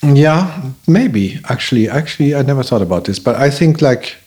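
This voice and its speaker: A man who grew up in Belgium, a native German speaker, talking casually and telling stories in a halting way, with many restarts. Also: mid-range voice, husky. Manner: halting, restarts often, animated storytelling. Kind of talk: casual conversation.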